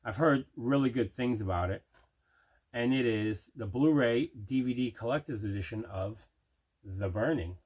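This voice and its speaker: An enthusiastic man with a mid-pitched voice presents items from his collection. He talks conversationally, in the casual manner of an unboxing video. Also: moderate pace, darkish tone. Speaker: movie collector, video host.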